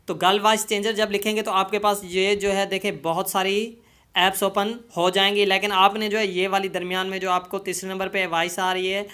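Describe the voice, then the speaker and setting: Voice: mid-range voice, pushed and bright. A tutorial host giving casual step-by-step how-to narration, often addressing viewers as friends and leaning on repeated filler phrases.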